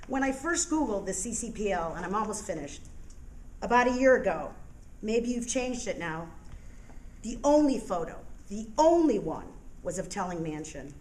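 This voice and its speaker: An American woman delivering a prepared public comment in a forceful, indignant, emphatic manner. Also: mid-range voice, low-quality recording. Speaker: resident giving public comment at a board meeting. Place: indoor public meeting room. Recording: clear room noise, public meeting.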